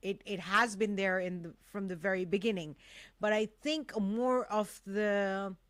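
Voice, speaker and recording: A woman's voice: medium pitch, fairly even intonation, measured pace, fairly bright tone, dry recording, clean studio sound. A woman whose first language is Urdu, hosting a casual live talk show with an effusive, emphatic manner that repeats phrases for emphasis.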